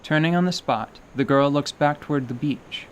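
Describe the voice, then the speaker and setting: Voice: mid-range voice, moderate pace, slight background noise. Narrator reading scripted fantasy prose. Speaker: narrator and voice actor.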